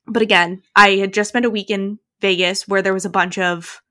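A woman's voice: medium pitch, a little fast. Chatty young woman telling a story. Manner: animated storytelling, frequent "like" and "literally". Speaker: podcast co-host. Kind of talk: casual chat.

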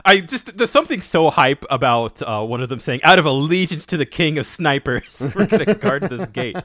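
A man with a somewhat high, very expressive voice co-hosts a casual podcast, laughing often and tripping over restarts when he gets excited. The sound is close and dry, with no room around it. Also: normal speed, no room sound. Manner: animated, stammers and restarts, laughs a lot. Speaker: podcast co-host.

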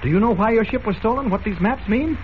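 High-voiced plucky young hero. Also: male voice, expressive, fast talker. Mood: insistent. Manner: earnest, clean-cut. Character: earnest, plucky young male adventure hero. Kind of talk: 1940s radio drama acting. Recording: muffled, noisy old radio recording.

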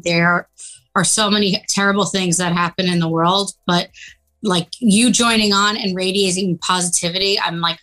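A woman's voice: fairly low pitch, fairly bright tone, rough recording. Upbeat young New woman. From New York City. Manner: upbeat, giggly, says "like" often. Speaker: real estate agent guest. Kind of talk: casual interview chat.

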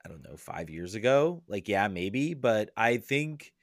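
A man guesting on a casual soccer podcast, talking in halting bursts with many restarts and wry laughs. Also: fairly low pitch, big pitch swings, no room sound, clean studio sound.

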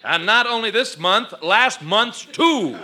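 An actor playing a stern, exasperated rent collector in an old-time radio sitcom, speaking in an insistent, clipped way. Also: male voice, high voice, animated, slow. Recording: noisy vintage radio recording.

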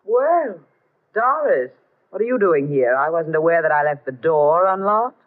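An actress playing a haughty, high-strung, indignant stage rival in a 1950s radio melodrama. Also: female voice, animated, a little slow, narrow, tinny audio. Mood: icy surprise. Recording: band-limited old 1950s radio recording.

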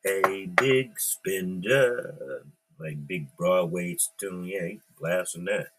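A middle-aged man talks on his own podcast in a very low, deep voice. He drawls slowly and loosely, swearing freely as he muses out loud. Faint room noise sits under the recording. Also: poor audio. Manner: slow, laid-back and profane, musing aloud. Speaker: podcast host. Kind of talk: casual rambling monologue.

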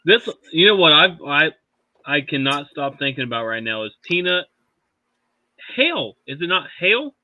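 A man's voice: a little high, sing-song, normal speed, no echo. A man co-hosting a podcast in a laid-back, self-mocking way. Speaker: co-host. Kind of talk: casual podcast chat. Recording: dull, dry lo-fi mic.

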